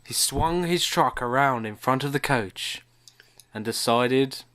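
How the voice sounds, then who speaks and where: A man's voice: medium pitch, slow pace, a bit bright. A man co-hosting a podcast, narrating an improvised comic story and bantering casually.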